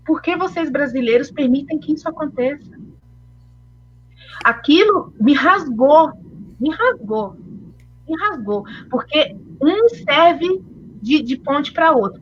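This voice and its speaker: A woman's voice: high-pitched, fairly bright tone, breathy edge, muffled recording. A Brazilian woman speaking earnestly and with feeling in a panel discussion. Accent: Brazilian Portuguese. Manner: earnest, emotional, recommends readings.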